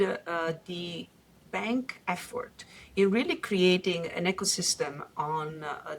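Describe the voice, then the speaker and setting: A middle-aged woman with a slight Italian accent, from Italy, explaining her career calmly as a guest in a podcast interview, pausing often. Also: on the low side. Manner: measured, explanatory, with frequent "uh" pauses. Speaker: guest investor.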